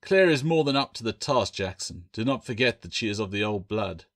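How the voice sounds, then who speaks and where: A man's voice: fairly low pitch, animated, a little fast, fairly bright tone. A man voicing a proud, shrewd pirate captain in an audiobook, speaking formally and with authority. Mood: confident.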